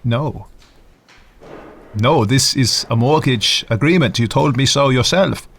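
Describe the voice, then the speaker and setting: An actor playing an earnest, wronged farmer in an audio drama, speaking plainly and haltingly but insistently. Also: male voice, mid-range voice, a bit bright, slightly rough, heavily acted. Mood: upset, ashamed. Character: earnest, wronged, illiterate male farmer, slow-spoken.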